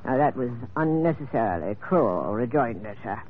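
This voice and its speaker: An actor playing a wily, genteel major in an old radio drama, with florid and formal speech. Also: male voice, medium pitch, expressive, moderate pace, close to an everyday voice. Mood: grudging. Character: wily, pompous male major, genteel schemer. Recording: tinny, noisy old radio recording.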